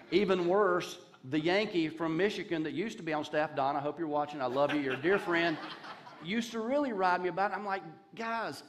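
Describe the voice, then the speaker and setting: A man's voice: sits high, noisy background. A man in his fifties from Charlotte with a Southern accent, a guest pastor giving a conversational sermon on a church stage with folksy jokes and asides, speaking into a headset mic. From Charlotte, North Carolina. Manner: folksy, joking asides, gestures while pacing the stage. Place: large dark church stage.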